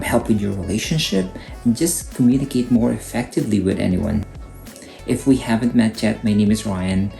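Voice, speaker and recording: A middle-aged Filipino man with a Filipino accent, hosting a channel and calmly explaining advice to camera. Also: fairly low pitch, creaky. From Philippines. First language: Cebuano. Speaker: YouTube host, HR coach. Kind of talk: scripted advice talk to camera. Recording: echoey room with faint guitar music.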